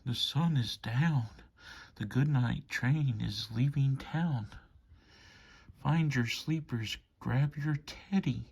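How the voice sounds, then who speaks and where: Male bedtime story reader, unhurried and rhythmic, with a rough, husky grain. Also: mid-range voice. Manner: rhythmic, measured delivery of rhyme. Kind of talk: bedtime story read-aloud.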